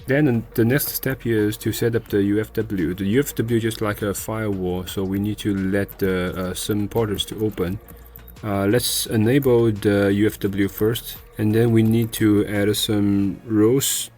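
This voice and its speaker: A man presenting a step-by-step software tutorial in an unscripted, halting way, pausing to search for words. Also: on the low side, steady tone, soft background music. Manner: hesitant, halting delivery with searching pauses.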